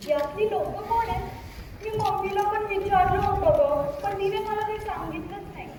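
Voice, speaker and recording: Actress as household female in amateur stage drama, very high voice, distant in a reverberant hall. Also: moderate pace, a little mellow. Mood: excited, curious. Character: busy, fussing mother and her excited young daughter. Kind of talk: amateur stage play acting. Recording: echoey hall, distant mic, crowd noise.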